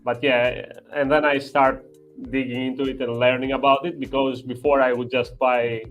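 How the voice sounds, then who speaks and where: A man's voice: medium pitch, level tone, dull audio, faint music behind. Hesitant young man from Albania. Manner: hesitant, many "uh" pauses, slightly broken English. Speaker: guest. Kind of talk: casual chat.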